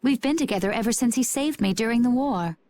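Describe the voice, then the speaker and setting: A woman's voice: fairly high pitch, expressive, fast talker, dark tone, natural voice. A woman voicing a cool, enigmatic young warrior woman in a video game, composed and understated. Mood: calm, guarded.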